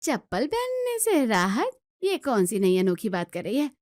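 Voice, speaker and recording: Lady doing a naggy stubborn middle aged saas from a hindi family story, highish voice, dry close sound. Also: huge pitch swings, a little slow, a little mellow, dry recording. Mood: dismissive. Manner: scolding, complaining. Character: bossy, grumbling middle-aged Indian mother-in-law, stubborn but secretly pleased.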